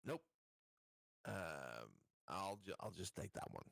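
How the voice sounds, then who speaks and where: Animated man playing a tabletop game. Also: low voice, slow, gravelly, no echo. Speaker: player in a tabletop roleplaying game. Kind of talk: casual chat at game table.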